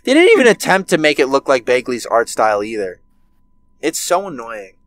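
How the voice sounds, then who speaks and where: A man's voice: medium pitch, very animated, a little fast, fairly bright tone. A nineteen-year-old man ranting with heavy swearing in a casual podcast chat, recorded over a webcam video call from his bedroom. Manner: profane, ranting, heated. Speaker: podcast co-host.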